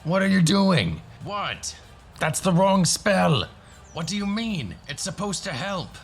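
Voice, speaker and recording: A man's voice: sits high, big pitch swings, takes it slowly, vocal fry, full character voice. A man playing a well-meaning, flustered dwarf sorcerer in a fantasy audio drama. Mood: flustered, defensive.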